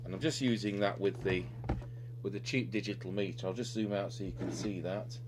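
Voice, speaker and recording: A man's voice: low-pitched, a little fast. A man giving an unscripted, methodical technical demonstration, thinking aloud as he works at the bench. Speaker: electronics repair demonstrator, presenter. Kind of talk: unscripted hands-on technical walkthrough. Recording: clearly noisy recording with electrical hum. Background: steady hum and noise.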